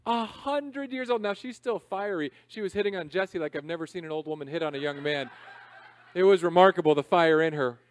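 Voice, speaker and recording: A man in his thirties preaching a sermon in a conversational, self-deprecating way, swinging between loud emphasis and quiet asides. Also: sits high, no room sound. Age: 30s. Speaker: pastor.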